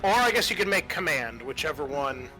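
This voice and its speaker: A man's voice: fairly high pitch, sing-song, a little fast, low-quality recording. A man narrating a tabletop roleplaying game as game master, casually and hesitantly, improvising as he goes. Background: background music.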